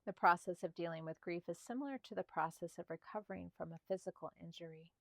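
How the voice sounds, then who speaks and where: Female meditation narrator, slightly low register, gentle breathy softness, soothing spaced delivery, dry studio-like sound. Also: no echo. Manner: slow, calm, soothing delivery with spaced short phrases. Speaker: meditation guide.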